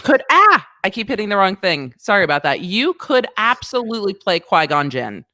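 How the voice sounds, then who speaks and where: Lively, chatty woman hosting. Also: mid-range voice, normal speed, no room sound, studio quality. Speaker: host. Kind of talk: casual livestream chat.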